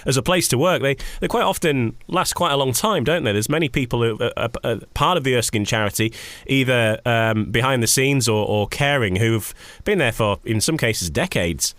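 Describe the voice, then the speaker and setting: A man's voice: medium pitch, normal speed, fairly bright tone, dry recording. A man hosting a conversational radio interview, wording things in a rambling way and repeating words as he goes.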